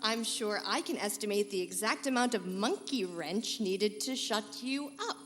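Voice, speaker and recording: A woman's voice: mid-range voice, expressive, normal speed, a bit bright, natural voice. A woman playing a feisty, sassy young reporter who talks firmly and sarcastically in a live pulp sci-fi radio comedy. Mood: defiant. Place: live convention stage. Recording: echoey hall, light hum.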